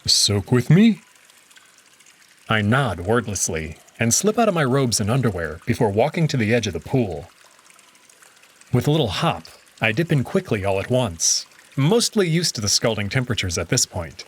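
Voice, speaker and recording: A man narrating a visual novel in the first person, easy and conversational. His voice is a little husky, with a mid-range pitch and lively rises and falls. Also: moderate pace.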